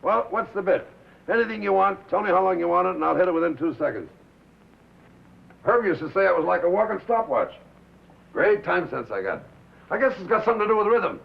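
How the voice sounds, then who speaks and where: A man's voice: high voice, expressive, fairly quick, vocal fry, pauses often, slightly rough, full character voice. A man playing a brash, defensive American bandleader in 1950s film drama style, loyal and slangy, with punchy wise-guy talk. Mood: cocky. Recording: muffled vintage film soundtrack.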